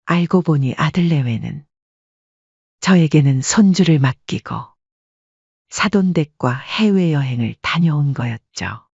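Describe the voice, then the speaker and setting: A woman voices a sorrowful grandmother in her late sixties telling her story. The voice is husky, recorded close and dry with a slightly dull tone. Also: sits low, animated, measured pace, a little mellow, no room sound, exaggerated voice. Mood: wistful, aggrieved. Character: sorrowful 68-year-old widowed restaurant-owner grandmother. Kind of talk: scripted first-person story narration.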